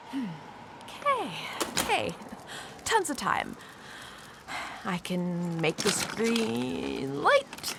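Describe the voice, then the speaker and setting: High-voiced, perky young wheelchair user. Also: female voice, very noisy. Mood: cheerful. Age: young adult. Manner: perky, slangy, talks to self. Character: upbeat, impatient young wheelchair user starting a new job. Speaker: actor in a radio play. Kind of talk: naturalistic radio drama acting.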